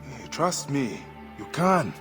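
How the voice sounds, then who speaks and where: An actor playing a steady, protective warrior father in a video game, firm and commanding yet coaxing. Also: medium pitch, slow pace, music in the background. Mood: gentle coaching. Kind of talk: dramatic video game acting.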